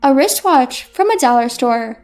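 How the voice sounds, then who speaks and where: A woman's voice: high-pitched, expressive, measured pace, a little mellow, soft background music. A woman voicing an assertive female executive heir with a firm, direct manner in a narrated story. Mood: cool.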